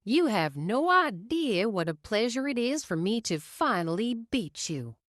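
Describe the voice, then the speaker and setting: A woman voicing a cocky young male race car who speaks in a slow, smug drawl. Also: on the high side, big pitch swings, fairly bright tone, no echo, studio quality, pitched above the performer's own voice.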